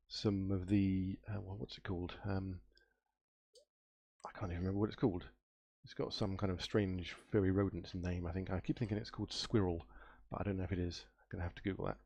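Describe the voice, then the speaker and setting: A man's voice: low-pitched, steady tone, a little fast, gravelly, warm tone, no echo. A man with a nasal voice from a cold, live-streaming his game coding and thinking aloud, muttering and trailing off as he searches for things. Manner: muttering to himself, trailing off and spelling words out while searching.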